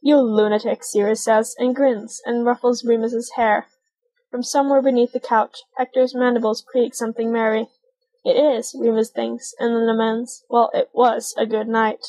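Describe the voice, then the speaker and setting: A woman's voice: on the high side, level tone, normal speed, darkish tone, rough recording. A woman reading a story aloud, moving between narration and characters' dialogue. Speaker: audiobook reader.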